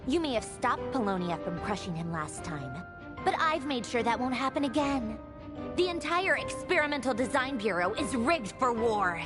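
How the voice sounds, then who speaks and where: Haughty young villainess inventor, high lively voice, sarcastic, theatrical, anime game, music behind. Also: female voice. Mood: defiant, haughty. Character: haughty, sharp-tongued young female villain inventor, tea-loving. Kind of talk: anime-style video game voice acting. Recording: dramatic music under the voice.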